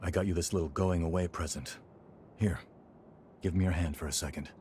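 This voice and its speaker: An actor playing a loyal, frank young fighter in a video game, talking casually. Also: male voice, sits low, fairly even intonation, brisk, darkish tone, a little hiss or hum, lightly acted. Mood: frank, easygoing. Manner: casual, colloquial. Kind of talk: video game cutscene dialogue.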